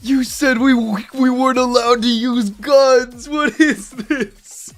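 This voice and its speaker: Orc yelling way up high, super indignant and whiny, stretching words out, comic fantasy bit. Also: male voice, fairly even intonation, faint music behind, heavily acted. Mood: outraged. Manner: shouting, aggrieved, drawn-out words. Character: indignant, whining orc protesting a cheat.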